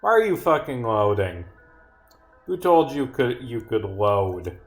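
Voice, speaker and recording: A man live-streaming his own game, reading dialogue aloud and rambling as he thinks out loud, with some swearing. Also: mid-range voice, very animated, takes it slowly, faint music behind.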